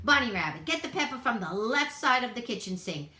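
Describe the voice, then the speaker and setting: A woman's voice: fairly high pitch, sing-song, slow pace, bright, forceful tone, roomy sound, slight background noise, pitched above the performer's own voice, exaggerated voice. A woman voicing a grumpy, bossy male varmint creature who snarls out orders in a storybook. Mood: snarling.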